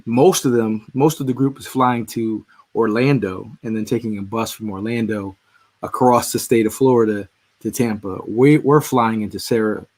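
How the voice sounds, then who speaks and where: A man's voice: on the low side, steady tone, moderate pace, darkish tone. Philly-male podcast host, voice just back after losing it, rambling casual sports talk. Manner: rambling, frequent restarts and fillers. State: voice recovering from being lost.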